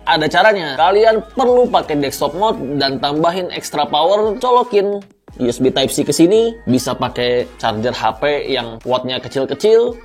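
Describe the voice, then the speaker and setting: Indonesian man, slightly high voice, casual reviewer. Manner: informal, explanatory, conversational. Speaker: product reviewer and presenter. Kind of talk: casual audio gear review to camera.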